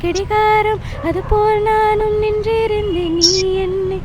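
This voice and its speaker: Female amateur singer. Very high, drawn-out singing over prominent music. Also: steady tone.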